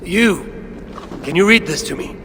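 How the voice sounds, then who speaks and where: An actor playing a grim, commanding Norse god warrior in a video game, with a gravelly, breathy voice and a measured, brooding manner. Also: male voice, sits high, animated. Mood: urgent, commanding. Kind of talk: dramatic game voice acting, brooding narration. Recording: heavy ambient game noise behind.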